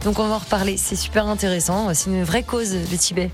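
A woman's voice: mid-range voice, fairly even intonation, fairly quick, soft background music. A woman co-hosting a morning radio show, teasing her colleague in casual banter. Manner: teasing, dry. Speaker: radio co-host and newsroom presenter. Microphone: studio microphone with headphones.

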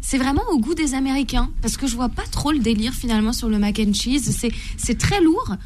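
A young woman chats as a guest on a radio cooking show, light and talkative and quick to laugh. Her voice sits somewhat high. A faint hum of ambience runs under her speech. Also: expressive, darkish tone. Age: young adult. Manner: chatty, laughs easily. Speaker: cookbook author guest. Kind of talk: casual radio chat.

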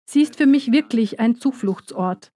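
Woman, documentary voice-over, earnest, measured, warm tone. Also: fairly high pitch, lively intonation. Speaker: voice-over speaker. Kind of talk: first-person documentary voice-over.

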